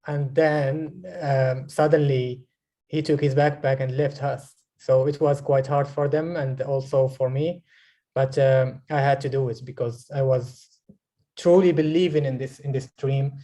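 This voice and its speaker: A man in his twenties from Morocco, a guest filmmaker speaking in an interview over a video call, often pausing and restarting as he searches for words. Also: mid-range voice, fairly even intonation, mellow. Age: 20s.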